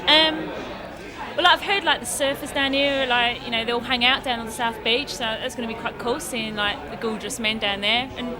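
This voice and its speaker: A woman's voice: a little high, steady tone, normal speed. A woman from Essex, chatting with lots of "like" fillers. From Essex, England. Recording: crowd behind.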